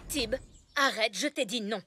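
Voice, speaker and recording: A woman's voice: fairly high pitch, a little slow, sharp tone, a little hiss or hum. A woman playing a protective, firm mother in a cartoon, with a motherly, scolding manner. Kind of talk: French cartoon dub acting.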